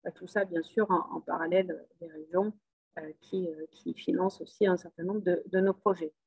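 A woman's voice: fairly low pitch, fairly even intonation, moderate pace, vocal fry, darkish tone, husky, no echo, lo-fi sound. A middle-aged woman with a southern French accent, speaking on a video call at a webinar, full of hesitations and repeated words but earnest and upbeat. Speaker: rail company executive speaking at a webinar. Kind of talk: spontaneous webinar speech.

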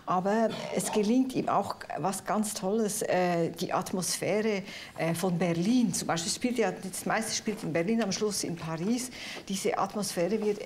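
A woman's voice: medium pitch, measured pace, darkish tone. A Swiss-accented woman with a mid-range voice talks as a critic on a TV book panel. She describes things eagerly, hesitating often as she hunts for the right words. Faint noise sits under her voice. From Switzerland. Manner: engaged and descriptive, with frequent hesitations. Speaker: literary critic. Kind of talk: televised panel discussion. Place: TV studio with a live audience.

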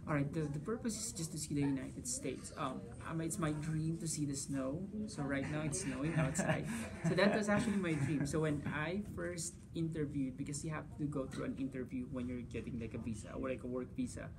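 A man's voice: a little high, fairly quick, gravelly, hardly any pauses, noisy background. A man in his thirties from the Philippines, answering interview questions casually as a physics teacher, often saying "you know" and "like". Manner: gestures while talking, frequent "you know" and "like". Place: school conference room. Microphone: camera across the table.

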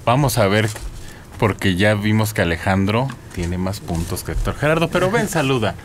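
A middle-aged man with a fairly low voice hosts a radio show from a small padded studio. He talks into a desk microphone. He jokes and laughs easily. A faint background hiss sits under his voice. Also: moderate pace. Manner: lively, joking, laughs often. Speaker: radio host. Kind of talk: radio news chat.

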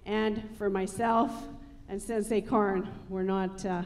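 A woman's voice: mid-range voice, level tone, takes it slowly, a little mellow. A middle-aged woman, a martial arts instructor, speaking into a handheld microphone to an audience as she presents a live demonstration on a theatre stage. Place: large theatre stage, indoors.

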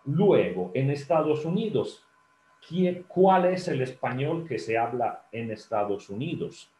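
A man whose first language is Italian lectures students over a video call in a slow, halting way. He fills pauses with ums and restarts, and often turns to ask the audience questions. His mid-pitched voice sounds roomy and echoey. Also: sing-song, mellow. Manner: hesitant, many fillers and restarts, asks the audience. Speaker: telephone interpreter giving a talk.

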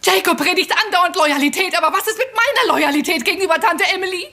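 High-pitched anxious wife. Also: female voice, expressive, quick pace, bright, forceful tone, heavily acted. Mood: pleading. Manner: urgent, pleading, unsure. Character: anxious, hesitant married woman, mother. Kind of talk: German radio crime drama acting.

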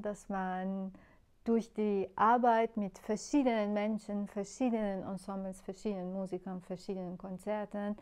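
Slow, thoughtful woman from Iran. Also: medium pitch, mellow, clean tone. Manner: unhurried, thoughtful, many hesitation fillers. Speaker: composer. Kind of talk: interview answers. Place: bright living room, indoors.

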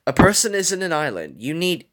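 A man voicing an earnest, concerned young man in a dramatic story, calm but easily exasperated. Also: a little high, moderate pace, voice pushed up. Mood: annoyed, pushing.

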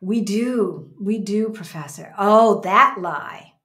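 A woman's voice: mid-range voice, slow, a bit bright. An American woman in her fifties co-hosting a casual livestream from a room at home, talking emphatically and repeating phrases for emphasis. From United States. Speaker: co-host of a livestream. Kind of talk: casual livestream chat, reading out viewer comments. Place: small indoor room at home.

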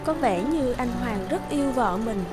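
A woman playing a soft-hearted, hesitant young office worker in a cartoon, speaking politely. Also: fairly high pitch, animated, normal speed, loud music behind the voice, natural voice. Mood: hesitant, subdued. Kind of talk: cartoon dub acting.

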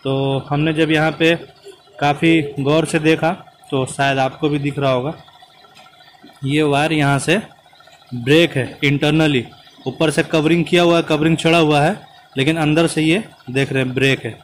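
A man's voice: fairly high pitch, fairly even intonation, normal speed, a little hiss or hum. Man explaining car diagnostics while working. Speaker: car mechanic. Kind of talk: hands-on repair tutorial. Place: inside a car and at the open engine bay, outdoors.

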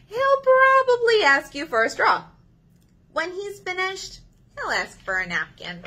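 A woman in her thirties leading a children's story time, reading aloud in an animated, sing-song way to young listeners. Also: sits high. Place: small indoor room.

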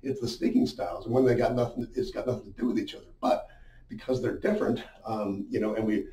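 A man's voice: on the low side, fairly even intonation, fast, warm tone. A middle-aged man giving an informal, conversational lesson as a sales coach, explaining things with frequent asides. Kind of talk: informal instructional talk at a whiteboard. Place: small indoor room with a whiteboard. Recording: roomy, muffled, distant-sounding echo.

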